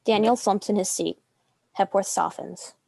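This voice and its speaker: A woman's voice: mid-range voice, steady tone, normal speed, vocal fry, warm tone. A woman delivering scripted narration, reading stage directions.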